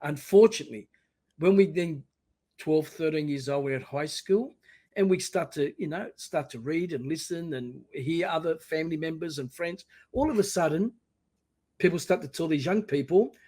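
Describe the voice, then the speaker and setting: Animated Australian man in his sixties. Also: fairly high pitch, moderate pace. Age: 60s. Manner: animated, acts out encouraging voices. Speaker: podcast guest. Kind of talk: casual interview.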